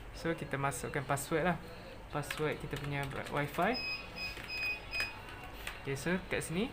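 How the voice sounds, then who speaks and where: A presenter with a Malaysian accent narrating a step-by-step software tutorial, mixing in English technical terms. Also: medium pitch, background noise.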